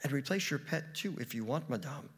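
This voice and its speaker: A man's voice: mid-range voice, lively intonation, fairly quick, husky. A man voicing an eager, precocious teenage girl who pleads earnestly in a raised voice. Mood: earnest pleading.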